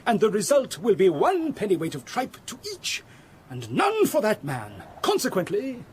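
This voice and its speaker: An actor playing a fussy, accusing English political economist in a radio drama, pedantic and indignant, repeating himself for emphasis. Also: male voice, sits high, wildly swooping pitch, normal speed, slight background noise.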